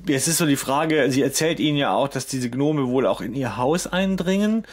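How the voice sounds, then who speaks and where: A man with a medium-pitched voice co-hosts a podcast. His talk is loose, colloquial banter with a streak of crude humour. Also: lively intonation, normal speed. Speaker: podcast co-host. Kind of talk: casual banter about an audio drama series.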